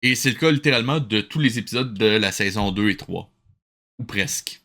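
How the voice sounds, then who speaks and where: Bright, forceful male livestream host. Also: fairly low pitch, fairly quick. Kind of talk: casual livestream reaction commentary.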